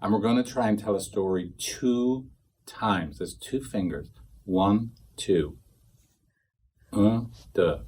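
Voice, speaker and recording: A man's voice: lowish voice, slow, darkish tone, husky. A man training couples therapists, talking casually to a small group, rambling and acting out quoted dialogue.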